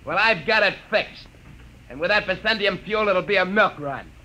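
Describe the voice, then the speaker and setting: A murderous, jealous spaceman in an old radio play. His high male voice is hard-edged and sneering, full of threat and agitation. The vintage recording has background noise. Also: animated, moderate pace, bright, forceful tone, exaggerated voice. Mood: sneering, menacing. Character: jealous, unhinged, murderous spaceship crewman turned gunman. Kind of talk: old-time radio drama acting. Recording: noisy old radio broadcast.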